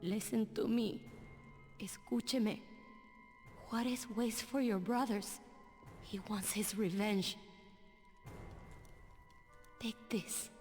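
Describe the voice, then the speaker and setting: A woman's voice: medium pitch, steady tone, slow, pauses often, darkish tone, long reverb tail, faint music behind, close to an everyday voice. An actress playing a world-weary, wounded woman, grave and confiding in a game cutscene. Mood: urgent. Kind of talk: video game cutscene acting.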